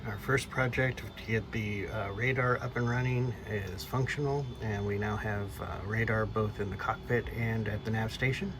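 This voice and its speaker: A man's voice: lowish voice, steady tone, moderate pace, hardly any pauses. A man hosting a DIY vlog, narrating in a casual style. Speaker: vlog host. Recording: clearly noisy ambient background.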